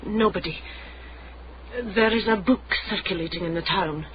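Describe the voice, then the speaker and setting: A man's voice: high voice, normal speed, a crowd in the background, lightly acted. A man playing a cold, secretive, commanding client with a clipped, imperious way of talking in a period radio drama. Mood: haughty, guarded. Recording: muffled radio broadcast, clear background noise.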